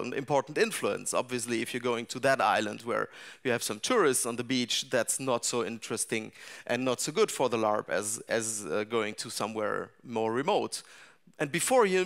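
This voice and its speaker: A middle-aged man speaks to a seated audience in a dark seminar room, his voice at an ordinary middle pitch. He picks his way through each thought, restarting phrases and filling pauses with "uh" and "um" as he goes. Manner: thoughtful, frequent restarts and fillers. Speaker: larp designer giving a talk. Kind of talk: conference talk to a seated audience.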